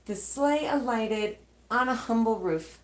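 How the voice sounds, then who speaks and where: A woman reading a rhyming children's storybook aloud, with dramatic pauses between short phrases. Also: on the high side, echoey room, rough recording.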